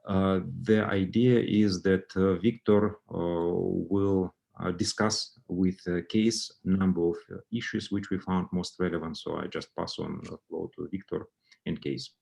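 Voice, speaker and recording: A man's voice: low-pitched, fairly even intonation, unhurried, vocal fry, a little mellow. A man hosting an online alumni session, calling on people in turn with many hesitant fillers. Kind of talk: moderating a video call. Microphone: video call microphone.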